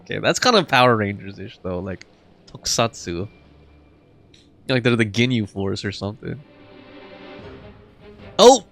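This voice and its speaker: A man's voice: on the low side, very animated, unhurried, creaky, long pauses, fairly bright tone. A man streaming a game with casual, slangy live commentary, reacting in short muttered interjections. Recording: game music behind the voice.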